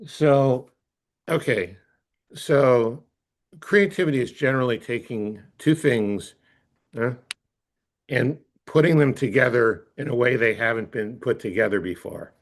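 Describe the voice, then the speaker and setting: A man answering as a coach in a conversational interview, thinking aloud in a thoughtful, halting way with repeated phrases. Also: mid-range voice.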